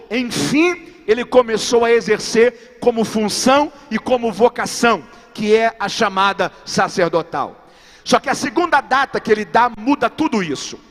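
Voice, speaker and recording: A man with a strikingly high voice delivers a sermon, counting off his points and calling out questions to the congregation. His voice rings through a large church sanctuary with a long, trailing reverb, as heard on a live church stream. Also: fairly bright tone. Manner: preaching emphatically with repeated rhetorical questions to the congregation. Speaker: pastor.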